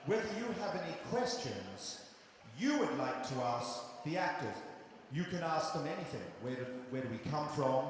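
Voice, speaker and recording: A man's voice: fairly high pitch, a little hiss or hum. Jokey man in his forties in an echoey hall. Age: 40s. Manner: chatty, jokey, addresses kids directly. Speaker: actor hosting a post-show Q&A. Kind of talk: cheerful audience Q&A with children. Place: theatre stage in a large hall. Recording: echoey hall recorded from far off.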